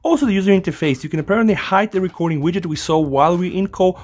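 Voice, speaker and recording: A man's voice: high voice, lively intonation, rapid-fire, a little mellow. A man hosting a casual hands-on phone tutorial, talking directly and informally to his viewers. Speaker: tech tutorial host.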